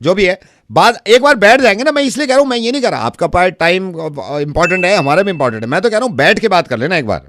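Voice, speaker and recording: A man's voice: high-pitched, expressive, fast talker, a bit bright, a little hiss or hum. A man working as a radio prank caller, talking in an insistent, chatty way and cutting in on the other person. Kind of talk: prank phone call, improvised chat.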